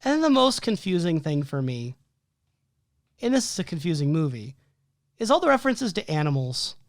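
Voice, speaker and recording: Steady man reading film notes, hoarse. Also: a little high, moderate pace, dry recording, studio quality. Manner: methodical, listing details with frequent "um" and "like". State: losing his voice. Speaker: podcast co-host.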